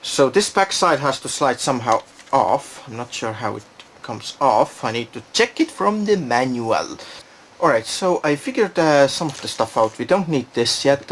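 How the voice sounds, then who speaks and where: A man's voice: medium pitch, a little hiss or hum. A man giving a casual, unscripted product demonstration, thinking aloud and hesitating as he goes. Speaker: product reviewer.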